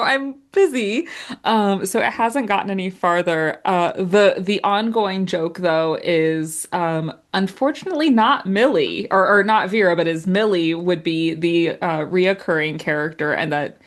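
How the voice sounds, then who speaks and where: A woman's voice: mid-range voice, measured pace. A woman chatting casually as a guest writer in an interview, with a self-deprecating, joking manner. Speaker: audio drama writer and guest.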